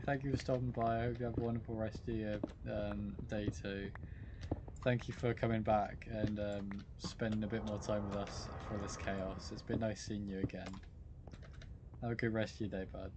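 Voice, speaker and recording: A man's voice: fairly low pitch, little pitch movement, moderate pace, background noise. A British man chatting casually with viewers while playing a game live, greeting them by name in a goofy way. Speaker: livestream gamer. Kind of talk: casual live-stream chat while gaming.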